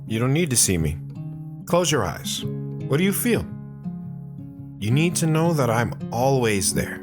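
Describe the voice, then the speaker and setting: A man's voice: mid-range voice, big pitch swings, a little slow, over music. A man playing a loving, reassuring father in an audio drama, speaking tenderly to his daughter. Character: loving, reassuring, playful father of a young girl.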